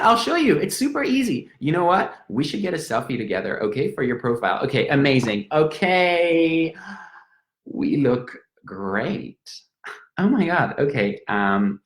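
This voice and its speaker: A woman's voice: a bass voice, reverberant, heavily acted. A man voicing an over-eager young woman who chatters breathlessly in a pub.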